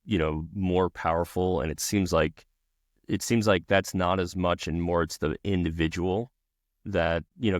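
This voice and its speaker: Host: man, deep voice, easygoing questioner, dry close recording. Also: dry recording. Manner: relaxed, brief affirmations, open questions. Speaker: podcast host. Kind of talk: casual interview hosting.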